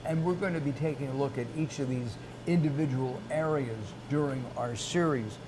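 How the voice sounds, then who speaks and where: A man's voice: medium pitch, normal speed. Male series host, conversational questioning with stop-and-start delivery, noisy recording. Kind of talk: hosted interview, conversational questions.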